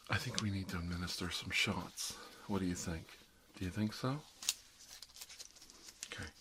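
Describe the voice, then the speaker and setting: A man playing a kindly older doctor in an ASMR role-play, speaking softly in an unhurried, hesitant, reassuring murmur. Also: sits low, very noisy. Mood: caring, reassuring. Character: kindly older male doctor doing emergency dental work.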